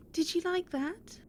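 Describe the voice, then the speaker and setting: Woman as a high, cooing undead temptress. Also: full character voice. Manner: cooing, sing-song, unhurried. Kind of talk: theatrical gothic horror audio drama.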